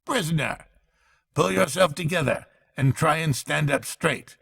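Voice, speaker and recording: A man voicing a cheerful, pompous magistrate in a storybook, speaking formally with deliberate emphasis and relishing harsh judgement. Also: on the high side, vocal fry, fairly bright tone, slightly rough, no echo, studio quality, pitched above the performer's own voice, full character voice. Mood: stern.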